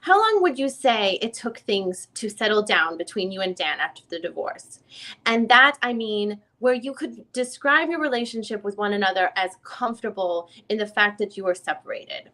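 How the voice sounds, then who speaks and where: A woman's voice: mid-range voice, animated, moderate pace, bright, forceful tone. A woman playing an informal, probing attorney who questions a witness in a reading of a deposition, often rephrasing as she goes. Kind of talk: dramatic reading of a legal deposition.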